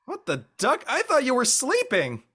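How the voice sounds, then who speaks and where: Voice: high-pitched, huge pitch swings, normal speed, a bit bright, breathy edge, exaggerated voice. An actor playing a laid-back, goofy teenage boy athlete in a dramatic read, slangy and joking. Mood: puzzled. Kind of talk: online dramatic table read.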